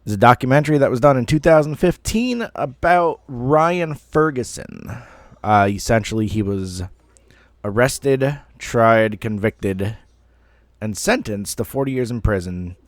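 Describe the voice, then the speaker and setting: A man's voice: medium pitch, lively intonation, a little slow, dry recording. A man in his thirties telling a personal story casually, in a rambling, self-deprecating way with some mild swearing. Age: 30s. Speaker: online storyteller.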